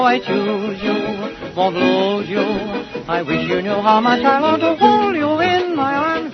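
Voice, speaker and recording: A high-voiced singer crooning with a 1930s dance band on an old radio recording. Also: male voice, dull audio.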